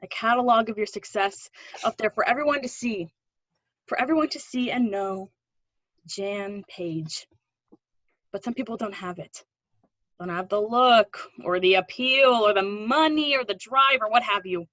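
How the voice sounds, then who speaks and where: A woman's voice: mid-range voice, unhurried, fairly bright tone, no echo, natural voice. A woman acting an earnest, pushy drama teacher with faded dreams, encouraging and wistful in a play readthrough. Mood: wistful, impassioned.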